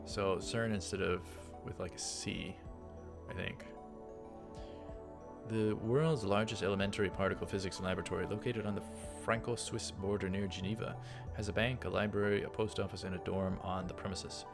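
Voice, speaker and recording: A man with a fairly low voice and a noticeable creaky fry reads game text aloud as a let's play host. He drops in casual, offhand asides. Music plays clearly behind him. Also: steady tone, moderate pace.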